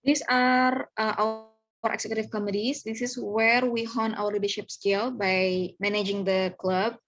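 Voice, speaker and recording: A woman's voice: mid-range voice, little pitch movement, moderate pace, a bit bright. A woman, earnestly walking listeners through slides in an online club presentation over a video call. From Indonesia. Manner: earnest, welcoming, talks through slides step by step.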